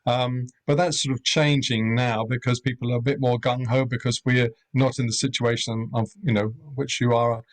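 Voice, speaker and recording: A middle-aged man from Europe who runs a rural guesthouse, speaking on a panel in a measured, hesitant way with many ums. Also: medium pitch, little pitch movement. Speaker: rural accommodation owner. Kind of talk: webinar panel talk.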